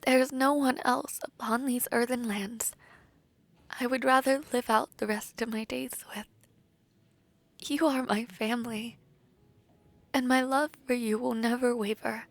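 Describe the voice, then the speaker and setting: Soft, slow woman acting a devoted princess bride. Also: a little high, steady tone, no echo. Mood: tender, heartfelt. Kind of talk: scripted romantic audio roleplay.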